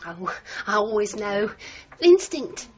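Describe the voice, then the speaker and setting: A woman's voice: mid-range voice, big pitch swings, slow, slightly rough, soft background music, exaggerated voice. An actress playing a gossipy, knowing woman who works at a hotel desk, in a 1980s radio drama. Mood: nosy, knowing. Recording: dull, faintly noisy radio recording.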